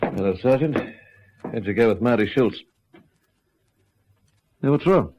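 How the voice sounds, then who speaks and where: An actor playing a wary, knowing country policeman in a radio drama, measured and laconic in manner. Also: medium pitch, expressive, noisy background, natural voice. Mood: concerned. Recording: dull lo-fi radio recording.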